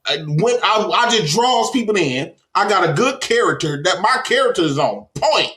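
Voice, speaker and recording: Man, boastful, animated storyteller, high lively voice, roomy echo. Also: normal speed. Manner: bragging, laughs often, animated storytelling. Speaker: panel guest. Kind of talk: boastful casual chat.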